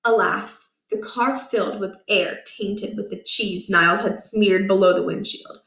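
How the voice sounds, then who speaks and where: A woman reading a children's chapter book aloud in a lively, expressive way. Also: medium pitch, unhurried, creaky, roomy sound. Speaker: storybook reader.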